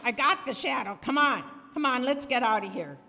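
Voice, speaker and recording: Woman playing a high-voiced, bossy gangster leader. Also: lively intonation, normal speed, bright, forceful tone, long reverb tail, exaggerated voice. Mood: triumphant, hurried. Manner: curt, commanding, slangy. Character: male gang boss, bossy ruthless gunman. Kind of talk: 1930s radio crime drama acting.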